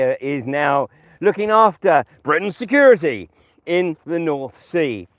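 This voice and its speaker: A British man giving an informal political monologue to camera outdoors in a field, hesitant and wry, with emphatic pauses. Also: fairly high pitch, dry recording, muffled recording. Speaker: vlogger and political commentator. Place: outdoors in a farm field by a public footpath.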